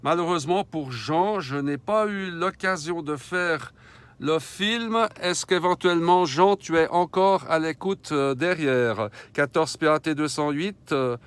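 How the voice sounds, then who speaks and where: A man's voice: a little high, a little slow, clean tone. A man operating an amateur radio from a vehicle, chatting in call signs and procedure words. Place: in a vehicle, mobile radio station.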